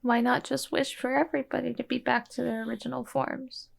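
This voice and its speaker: A woman's voice: high voice, flat delivery, brisk, vocal fry, full character voice. A woman voicing a stubborn young girl who argues back hard. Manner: pushy, argumentative.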